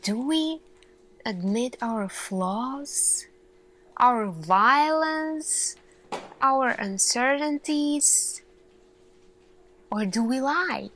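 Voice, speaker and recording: An earnest, thoughtful lead scientist , acted out by a woman, addresses her team in a soft-spoken ASMR roleplay. Her female voice sits slightly high. She speaks extremely slowly and deliberately, leaving long pauses as she goes. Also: animated, slight background noise, full character voice, poor audio. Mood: pensive, grave.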